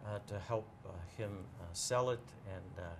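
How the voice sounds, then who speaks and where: A man in a casual interview, talking as a bookseller guest in a measured, explanatory way and pausing to choose his words. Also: on the low side, a little hiss or hum.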